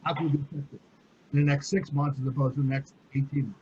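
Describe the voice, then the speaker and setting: A man's voice: medium pitch, flat delivery, fast talker, a little mellow, highs cut off, slight background noise. A man on an online panel who talks business in a matter-of-fact way, with stumbling restarts. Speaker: panelist, producer. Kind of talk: casual panel discussion.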